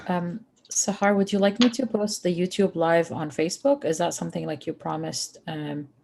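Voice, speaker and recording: Gravelly low-voiced woman staffer. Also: steady tone, moderate pace, darkish tone, slight background noise. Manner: hesitant, filler-heavy. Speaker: event staff. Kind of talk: casual logistics chat.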